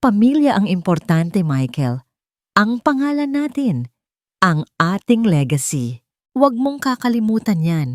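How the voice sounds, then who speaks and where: A woman's voice: medium pitch, big pitch swings, a little slow, dry recording, studio quality, voice pushed up. A Filipino-accented woman voicing a haughty, cold, rich older mother in an audio drama, melodramatic and condescending. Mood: cold, stern.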